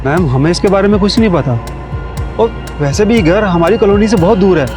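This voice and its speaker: Actor playing an evasive neighbour. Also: male voice, high voice, fairly quick, music in the background, close to an everyday voice. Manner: defensive, evasive. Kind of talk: television crime drama acting.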